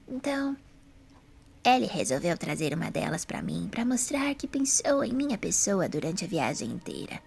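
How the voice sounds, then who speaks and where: Woman acting a croaky, frail old lady. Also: lowish voice, sing-song, moderate pace, exaggerated voice. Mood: fondly reminiscing. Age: older. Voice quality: hoarse, breathy. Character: serene frail old lady, fond of her statues. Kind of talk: audio drama acting.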